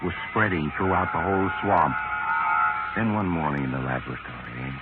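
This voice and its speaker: Very deep ominous mystery narrator. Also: male voice, normal speed. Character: ominous male mystery narrator telling a sinister tale. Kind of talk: scripted 1940s radio mystery narration. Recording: narrow-band vintage radio recording. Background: music.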